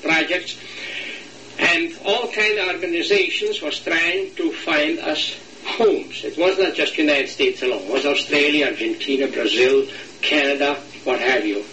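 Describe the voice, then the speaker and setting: Older Latvian-accented man, halting and distant. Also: on the high side, sharp tone, reverberant, a little hiss or hum. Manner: halting, searching for words, slight non-native grammar. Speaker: interviewee. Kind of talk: oral history interview.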